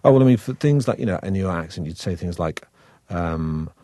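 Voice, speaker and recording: Low-voiced Mancunian man, dry close sound. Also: expressive, brisk, mellow, dry recording. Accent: Manchester (northern English). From Manchester, England. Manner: chatty and wry, hesitant with many restarts. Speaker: actor, interview guest. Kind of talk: relaxed interview conversation.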